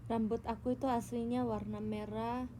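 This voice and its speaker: A woman's voice: on the high side, level tone, moderate pace, mellow, a little hiss or hum. A young Indonesian woman chatting casually and teasingly with her viewers on a phone livestream. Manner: playful, teasing, tells tall tales. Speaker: idol livestreamer.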